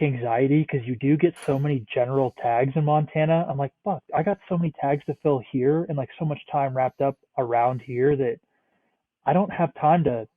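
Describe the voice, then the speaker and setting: A man's voice: medium pitch, no echo. A man of about thirty from the Northeastern United States, chatting casually as a podcast guest, rambling with lots of "like" and some swearing. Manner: rambling, many "like" fillers, swears freely. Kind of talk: casual interview chat. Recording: tinny phone-like remote line, dry.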